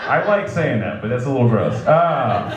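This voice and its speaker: A man's voice: medium pitch, expressive, normal speed. A man in his thirties doing a stand-up set, loose and self-deprecating, chuckling at his own jokes. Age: 30s. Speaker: stand-up comedian. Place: comedy club stage. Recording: echoey club with loud crowd. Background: laughing crowd.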